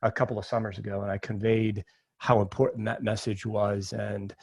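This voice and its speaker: A middle-aged man from Maine, a podcast guest telling personal stories casually and informally, often halting and repeating words. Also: lowish voice, little pitch movement, vocal fry, no room sound. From Maine, United States.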